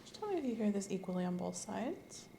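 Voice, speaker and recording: A woman speaking calmly and clinically as an examiner in an ASMR medical role-play, often dropping to a whisper. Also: medium pitch, fast talker, creaky, echoey room, slight background noise. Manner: calm, clinical, soft-spoken.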